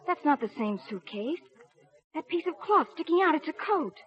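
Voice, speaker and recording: A woman's voice: high voice, expressive, normal speed. An actress playing an agitated, watchful woman speaking urgently in a 1950s radio drama. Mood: alarmed. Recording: muffled old radio recording.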